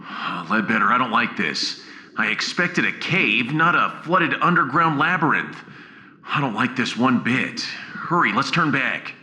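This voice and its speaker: A bright, higher-pitched spaceship captain played by a man in a sci-fi adventure, excitable yet wary. His exclamatory lines leap around in pitch through an echoey, faintly noisy recording. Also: measured pace, full character voice. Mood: nervous. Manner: animated, exclamatory. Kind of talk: sci-fi adventure audio drama. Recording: echoey reverb with faint noise.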